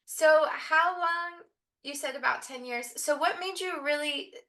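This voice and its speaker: A woman hosting a casual interview, eager and gushing, asking questions with quick laughs. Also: high voice, pushed and bright. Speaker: interview host.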